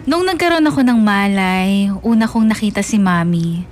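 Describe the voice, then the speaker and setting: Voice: medium pitch, normal speed, soft background music, lightly acted. A performer playing an earnest young adult who narrates a painful past in a radio drama, reflective and confiding. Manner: reflective, confiding, mixes Tagalog and English.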